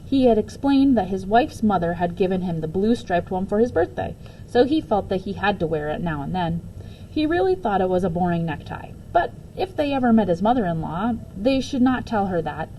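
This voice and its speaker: A woman reading a children's book aloud as a teacher would, in an expressive storytelling style. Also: mid-range voice, normal speed, slight background noise, poor audio.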